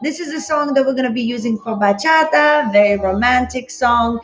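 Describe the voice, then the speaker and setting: Bubbly lady dance teacher on a phone livestream, bit higher voice, slightly worn, roomy echoey sound. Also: normal speed. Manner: bubbly, greets viewers by name. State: slightly losing her voice. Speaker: dance instructor. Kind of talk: live-streamed dance class.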